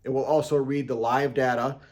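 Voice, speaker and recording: A man's voice: medium pitch, level tone, fairly quick, darkish tone. An American man hosting a casual product walkthrough, explaining step by step in a plain-spoken, matter-of-fact way. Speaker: host of tool review and how-to videos.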